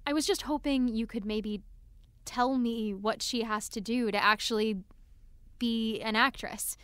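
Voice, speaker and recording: A woman's voice: fairly high pitch, steady tone, measured pace, fairly bright tone, clear, resonant tone, no echo. A young woman playing an earnest, anxious, apologetic teenage girl in an audio drama, hesitant and rambling. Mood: hesitant, pleading. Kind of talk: naturalistic audio drama acting.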